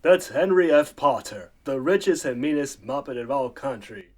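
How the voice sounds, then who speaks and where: A man playing a folksy, calm storytelling narrator who explains events in a radio play. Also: medium pitch, moderate pace, close to an everyday voice. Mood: calm, explanatory.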